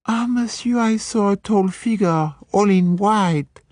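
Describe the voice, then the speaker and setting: A man's voice: high voice, slow pace, a little mellow, no echo, studio quality, voice pushed up. A man voicing a pert young French maid with a French accent, chatty and coquettish. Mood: coy.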